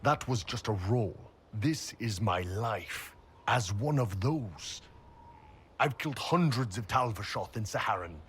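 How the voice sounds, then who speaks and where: An actor playing a big, gruff horned mercenary warrior, blunt and wry, in a video game cutscene. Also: male voice, lowish voice, lively intonation, unhurried, fairly bright tone, a little hiss or hum. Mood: bitter. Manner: blunt, wry, swearing soldier.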